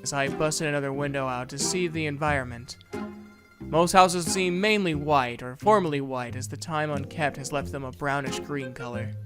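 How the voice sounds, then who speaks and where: An earnest, brooding young student acted by a man tells his story in the first person. His voice sits fairly high for a male, in audio drama style, with music clearly audible behind it. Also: normal speed, close to an everyday voice. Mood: observant. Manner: earnest, brooding, introspective narration. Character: earnest young male student, a beast-folk huntsman in training. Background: background music.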